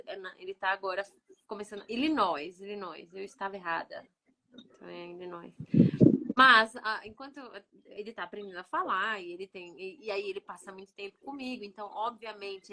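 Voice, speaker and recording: A woman's voice: mid-range voice, pushed and bright, rough recording. A Brazilian woman with a Brazilian Portuguese accent, chatting casually and telling stories. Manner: chatty storytelling, laughs easily. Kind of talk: casual chat.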